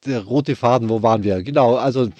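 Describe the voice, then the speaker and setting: A man's voice: medium pitch, a little fast, a little mellow, dry recording, dull audio. A middle-aged man with a Bavarian accent, hosting a podcast with a scripted intro and then casual chat, friendly with some restarts. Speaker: podcast host, orchestra violist.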